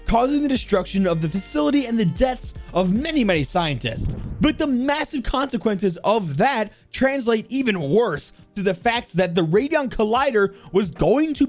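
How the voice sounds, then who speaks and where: Male commentary host, high and very lively, chatty and emphatic, light music bed. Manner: animated, informal, emphatic. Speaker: video host and narrator. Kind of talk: enthusiastic countdown commentary.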